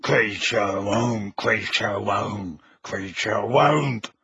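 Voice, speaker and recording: Man doing a croaking, sullen old house elf. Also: fairly low pitch, sharp tone, pitched below the performer's own voice, heavily acted. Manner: stubborn, repeats himself, slow and muttering.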